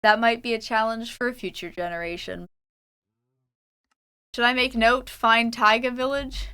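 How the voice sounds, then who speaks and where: A young Canadian woman chats her way through a gaming livestream, sometimes laughing and sometimes reading her own journal entries aloud. Her voice is bright and very clean, sitting a little high. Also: steady tone, normal speed, smooth. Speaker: streamer. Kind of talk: casual livestream chat while gaming.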